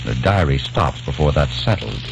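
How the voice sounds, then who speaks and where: A man's voice: a bass voice, animated, normal speed, vocal fry, over music, exaggerated voice. An actor playing a young American man obsessed with the past, in an old radio drama, narrating earnestly. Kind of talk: 1940s radio drama acting. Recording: dull old radio recording.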